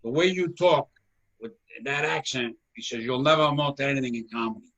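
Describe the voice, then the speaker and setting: A man with a thick Rhode Island accent talks on a video call as the host of a comedy chat. His voice is bright and forceful. He projects loudly and laughs easily as he talks. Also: medium pitch, a little fast.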